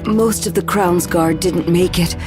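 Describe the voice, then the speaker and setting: An actress playing a loyal, formal royal guard with grave composure in a video game cutscene. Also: female voice, lowish voice, steady tone, a little slow, close to an everyday voice. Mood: grave, relieved. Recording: music behind the voice.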